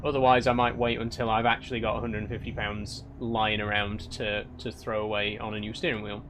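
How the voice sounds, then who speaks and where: A man's voice: on the low side, flat delivery, a little fast, a bit bright, slight background noise. A young man from Yorkshire with a Yorkshire accent, chatting casually on a live stream as he reads out viewer comments, with many restarts. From Yorkshire, England. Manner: chatty, with frequent restarts and light wry teasing.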